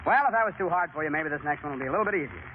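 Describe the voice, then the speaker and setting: A man's voice: on the high side, animated, rapid-fire. A man hosting a 1930s radio quiz show with bantering patter. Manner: bantering, repeats contestants' answers. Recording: tinny, hissy 1930s radio recording.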